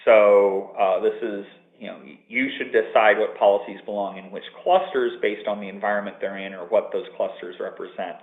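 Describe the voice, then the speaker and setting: A man's voice: on the low side, fairly even intonation, normal speed. A man presenting a technical webinar from an office, explaining at length with fillers and restarts. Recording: tinny phone-line audio with room echo.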